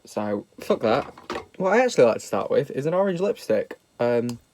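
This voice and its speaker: A man's voice: medium pitch, big pitch swings, normal speed, a little mellow, a little hiss or hum. A young British man with a slightly nasal voice from a sniffle, chatting casually and self-mockingly through a makeup tutorial. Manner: sweary, camp and self-mocking. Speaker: makeup vlogger.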